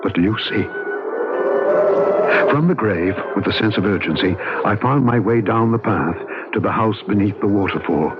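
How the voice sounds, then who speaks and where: An actor as a low-voiced haunted man, old radio drama. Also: moderate pace, natural voice. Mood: somber, brooding. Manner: earnest, dramatic, literary phrasing. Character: haunted, troubled man recounting a ghost story. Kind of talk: radio drama acting and first-person narration. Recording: muffled old radio recording, music behind.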